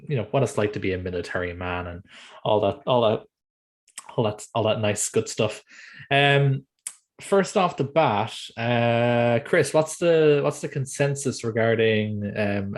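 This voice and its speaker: A man with an Irish accent, co-hosting a casual film podcast and chatting in a rambling way full of fillers. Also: on the low side. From Ireland. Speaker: podcast co-host.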